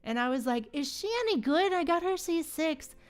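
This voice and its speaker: A woman livestreamer chatting casually in a slangy, animated way while gaming. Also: high voice, moderate pace. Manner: chatty, animated retellings with frequent slangy exclamations.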